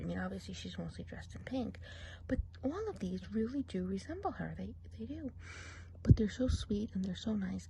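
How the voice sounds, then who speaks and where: A woman voicing a gossipy woodland witch in a breathy, confiding whisper for an ASMR roleplay. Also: medium pitch, slight background noise, close to an everyday voice, rough recording. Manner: gossipy, confiding, soft-spoken. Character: gossipy woodland witch giving a whimsical eye exam.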